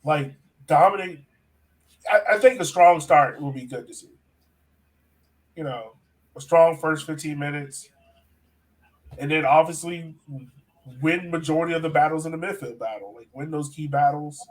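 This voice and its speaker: A man's voice: fairly high pitch, normal speed, pauses often, rough recording. An American man hosting a sports podcast in casual, chatty conversation. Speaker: podcast host.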